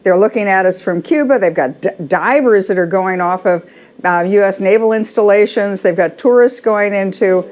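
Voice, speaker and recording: A woman's voice: medium pitch, moderate pace, a little mellow. An American woman giving conversational, explanatory analysis as a guest in a broadcast news interview.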